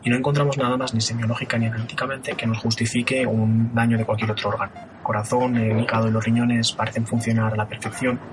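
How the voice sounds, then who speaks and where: An actor playing a calm, formal intensive care doctor who speaks in clinical terms. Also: male voice, mid-range voice, quick pace, close to an everyday voice. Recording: noisy recording.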